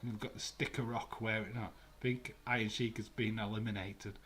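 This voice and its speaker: A man's voice: on the low side, level tone, a little fast, a bit bright. A man with a Glasgow accent hosting a live watch-along stream from a room at home, chatting casually with viewers in a rambling way with many fillers. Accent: Glaswegian. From Scotland.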